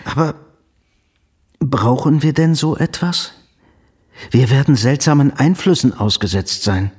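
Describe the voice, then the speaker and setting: A man's voice: medium pitch, a little slow, a little mellow, husky. An actor playing a stern, principled middle-aged father in a period radio drama, speaking formally and cautiously. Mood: formal, reserved. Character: stern, principled older father of a plain family.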